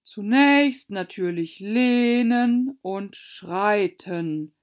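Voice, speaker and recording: A gloomy old female turtle creature from a storybook, acted out by a woman, drags out each phrase in a hollow, sighing voice. She is mournful and quick to take offence. The recording is slightly muffled. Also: lively intonation. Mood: sighing, self-important. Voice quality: deep, hollow voice. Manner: slow, drawn out, sighing.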